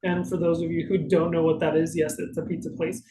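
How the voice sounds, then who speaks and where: A man's voice: fairly high pitch, little pitch movement, fast, a crowd in the background. A man co-hosting a casual question-and-answer chat in a hesitant, self-deprecating way. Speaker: podcast co-host. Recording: echoey room, faint noise.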